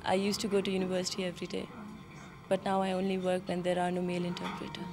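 An actress playing an interpreter from Afghanistan who speaks in a composed, even way. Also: female voice, on the low side, fast, music in the background, natural voice.

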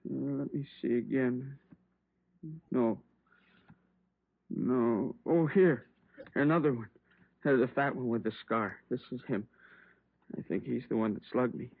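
An actor playing a hurt, trembling liquor store owner who speaks haltingly in an old radio drama. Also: male voice, medium pitch, creaky, breathy edge, no echo. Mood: halting, anxious. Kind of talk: 1950s radio drama acting. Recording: dry, muffled old radio recording.

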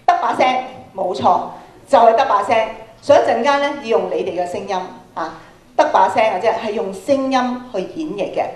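A woman's voice: on the high side, brisk. A middle-aged woman with a Hong Kong Cantonese accent, giving an interactive workshop lecture to students and putting questions to them as she projects to the hall. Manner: animated questioning, projecting to an audience. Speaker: workshop speaker, radio programme producer. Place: large school hall, on stage. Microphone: handheld microphone through PA, camera at a distance. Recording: echoey auditorium PA sound.

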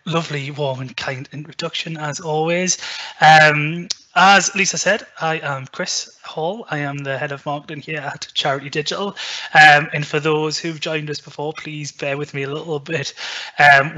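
A Geordie man in his thirties talks through a webinar over a video call. His voice sits a little high and rings bright and forward. He speaks in a chatty, loose way, with plenty of ums and restarts. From Newcastle, England. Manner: chatty, frequent ums and self-corrections. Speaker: webinar presenter. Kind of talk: presenting a webinar. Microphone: video call webcam.

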